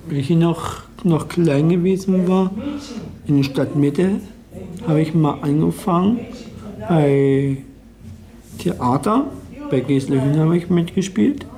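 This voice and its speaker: A man recalling personal memories as a theatre actor, speaking haltingly in simple, repeated phrases. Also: on the high side, dark tone, low-quality recording. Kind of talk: personal recollection.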